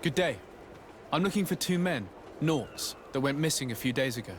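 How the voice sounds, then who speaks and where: A man's voice: fairly high pitch, lively intonation, moderate pace, slight background noise, lightly acted. A man voicing a composed, diplomatic emissary in a video game, polite, formal and probing. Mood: polite, inquiring.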